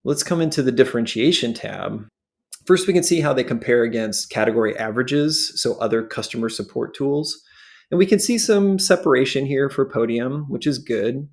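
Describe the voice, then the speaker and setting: A man presents a walkthrough in an explanatory way. His voice sits at an average pitch with a good deal of vocal fry, and he breaks his commentary into short phrases. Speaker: show host and presenter.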